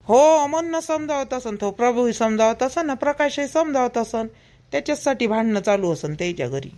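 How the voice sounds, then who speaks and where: A woman's voice: on the high side, animated, brisk, dark tone, lightly acted. A woman voicing a gossipy, fretting village mother in a cartoon, with a Vidarbha Marathi accent and chatty, emphatic, repetitive talk. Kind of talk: homemade cartoon family dialogue.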